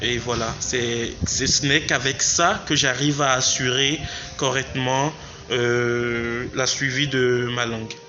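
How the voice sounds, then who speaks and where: A man being interviewed, talking in a measured way with hesitant fillers. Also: medium pitch, steady tone, bright, forceful tone. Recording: dull lo-fi sound, faint music.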